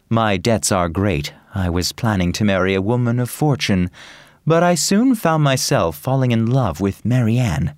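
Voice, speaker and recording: Remorseful gentleman scoundrel, low swooping voice. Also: dry recording, clean studio sound. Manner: earnest, pleading confession. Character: remorseful young gentleman scoundrel, earnest and pleading. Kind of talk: dramatised audiobook dialogue.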